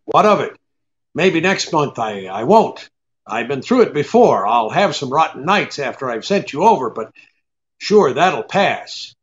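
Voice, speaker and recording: Guy doing a hard-boiled cynical detective, old radio play, lively swingy pitch, kinda muffled sound. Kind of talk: 1940s radio drama acting.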